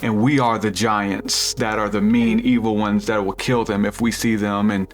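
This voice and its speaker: A man with a deep voice hosting a podcast, rambling through a spiritual theory in casual chat with lots of restarts. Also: flat delivery, normal speed, faint music behind. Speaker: podcast host.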